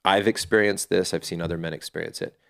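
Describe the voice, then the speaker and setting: Middle-aged male guest, very low voice, earnest, thinks aloud with restarts. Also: steady tone, fast, gravelly. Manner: earnest and reflective, with frequent restarts. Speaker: podcast guest, fatherhood community founder. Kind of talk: casual interview talk.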